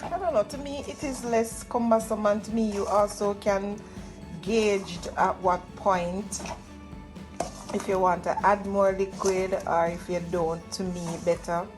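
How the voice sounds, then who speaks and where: A middle-aged woman with a Jamaican accent gives a casual cooking demonstration, explaining each step and pausing to find words. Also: medium pitch, steady tone. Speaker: home cook demonstrating a recipe. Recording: music playing behind the voice. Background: music.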